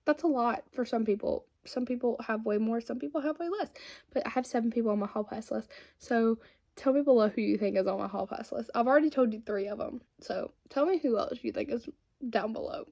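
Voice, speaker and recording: Chatty young woman, fairly high voice. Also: a little fast, vocal fry, warm tone. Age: 20s. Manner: chatty and rambling, many fillers. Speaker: beauty vlogger. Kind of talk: casual chat while doing makeup.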